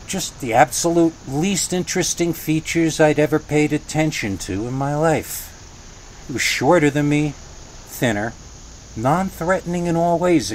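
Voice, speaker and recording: A man with a medium-pitched voice narrates a creepy first-person tale. He tells it conversationally, pausing for drama. There is some faint noise and ambience behind his voice. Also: poor audio. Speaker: horror story reader.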